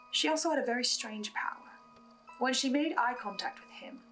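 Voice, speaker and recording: High-pitched woman, dramatic commentary over music. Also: fairly bright tone, rough recording. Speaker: host of a podcast reaction and theory video. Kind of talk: scripted analysis commentary.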